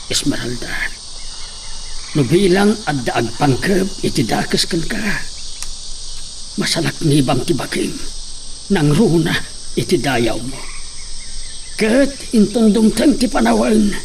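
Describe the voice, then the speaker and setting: Brief: male actor as aging oppressed father, slow grave delivery, raised pitch. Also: expressive, creaky, a little mellow, a little hiss or hum, exaggerated voice. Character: weary old peasant father, oppressed. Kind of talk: audio drama acting.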